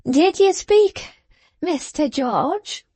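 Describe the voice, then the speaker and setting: Woman voicing a slow, gasping, spiteful old man. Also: high voice, expressive, a little mellow, no echo, voice pushed up, heavily acted. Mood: wheedling, sly. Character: irritable, wheedling, breathless old man moneylender.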